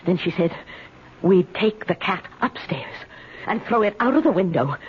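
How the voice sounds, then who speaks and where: An actress playing an anxious, lonely, wistful single woman who speaks hesitantly and haltingly in a 1970s radio drama. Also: on the low side, animated, husky. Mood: nervous, confiding. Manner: hesitant, halting, repeats her words. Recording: muffled, hissy 1970s radio recording.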